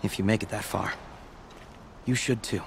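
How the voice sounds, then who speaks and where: An actor playing a humble, earnest apprentice shaman in a video game, speaking in a measured, solemn way. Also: on the low side, steady tone, vocal fry, a little hiss or hum. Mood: solemn, guiding. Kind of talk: video game dialogue acting.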